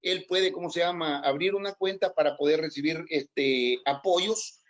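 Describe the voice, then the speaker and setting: A man's voice: on the high side, normal speed, dull audio. A middle-aged man with a Salvadoran Spanish accent, answering political interview questions in a hesitant way, often repeating words. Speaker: interview guest, aspiring legislative candidate.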